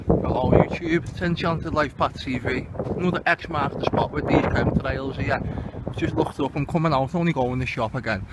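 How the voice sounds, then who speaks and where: Sweary Liverpool man in loud outdoor noise. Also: medium pitch, moderate pace. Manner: sweary, indignant, exclamatory. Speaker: video channel host. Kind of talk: casual outdoor vlog commentary. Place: outdoors under open sky. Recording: very noisy outdoor amateur recording.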